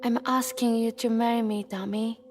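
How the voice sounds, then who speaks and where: An actress playing a tender, teasing young woman who speaks softly and slowly in a video game cutscene. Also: on the high side, fairly even intonation, music in the background, natural voice. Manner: soft, slow and affectionate.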